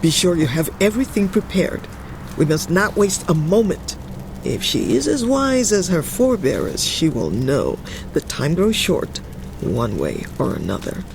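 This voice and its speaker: A woman's voice: fairly low pitch, big pitch swings, a little slow, creaky, background noise, heavily acted. A woman playing an imperious, scheming older master mage in an audio drama. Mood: pleased, menacing. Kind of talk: audio drama character acting.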